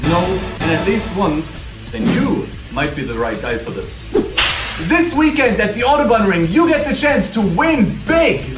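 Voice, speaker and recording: Man playing a brash high-pitched hype-man promoter. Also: roomy sound, recorded from a distance, poor audio. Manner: bombastic, cheesy, salesman-like. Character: brash male PR manager pitching a street racing festival. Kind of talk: hard-sell promotional pitch to camera.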